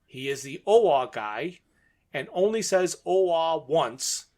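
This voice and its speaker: Higher-pitched man recapping a story. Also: animated, slow pace, dark tone. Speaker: podcast co-host. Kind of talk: podcast plot recap and discussion.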